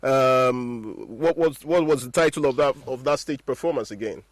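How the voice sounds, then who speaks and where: A man's voice: mid-range voice, moderate pace, dry recording. A man, hosting a radio interview. He says "mm-hmm" often and fills his talk with "uh". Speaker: radio host.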